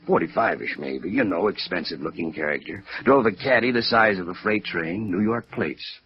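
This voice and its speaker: A man's voice: low-pitched, normal speed, lightly acted. A man acting a gossipy yet guarded American apartment manager in a 1950s radio drama, wisecracking in everyday slang. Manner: colloquial, wisecracking. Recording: muffled vintage radio recording.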